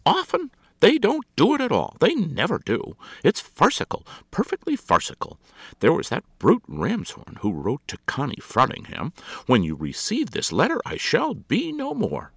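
A male narrator plays a grand society hostess from a storybook, forever exasperated. Her voice leaps high and swoops wildly, imperious and shrieking with impatience. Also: wildly swooping pitch, moderate pace, gravelly, a bit bright, dry recording, clean studio sound, heavily acted. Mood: scornful, dismissive.

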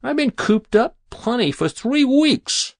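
A man's voice: extremely high pitch, sing-song, takes it slowly, dry recording, studio quality, pitched above the performer's own voice, heavily acted. A man voicing a cocky, crude young American tough guy in a story reading, sneering and slangy. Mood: impatient, greedy. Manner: leering, sneering, slangy, insolent. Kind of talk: literary short story reading.

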